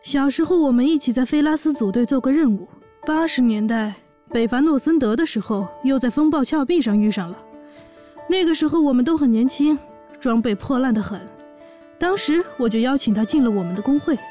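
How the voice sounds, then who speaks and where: Woman playing brash, high-voiced orc warrior big sister. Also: lively intonation, fairly quick, warm tone, faint music behind. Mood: nostalgic, boastful. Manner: sassy, blunt, bossy. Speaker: voice actor in a fan audio drama. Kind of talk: audio drama acting and narration.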